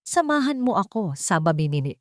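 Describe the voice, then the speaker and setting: A woman's voice: mid-range voice, very animated, a little fast, dry recording, studio quality, lightly acted. A woman voicing a grieving, commanding male tycoon in an audio drama, controlled and imperious. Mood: icily calm. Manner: controlled, imperious, clipped orders.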